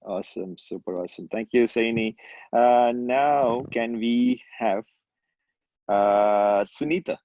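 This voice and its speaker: A man hosts a church meeting over a video call, calling on each speaker and answering with brief encouragement. His voice sits at a middle pitch. The audio is dry and muffled. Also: slow pace, dark tone, no room sound. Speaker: meeting host.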